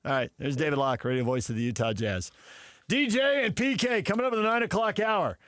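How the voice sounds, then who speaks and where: A man's voice: on the high side, brisk, fairly bright tone, no room sound. A man hosting casual sports talk radio, conversational and given to banter, close on a studio microphone.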